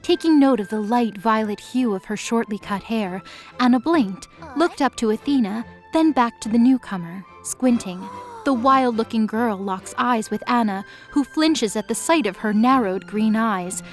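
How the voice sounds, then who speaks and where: A woman's voice: on the high side, animated, moderate pace. A woman narrator reading a scripted dramatic story. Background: music bed.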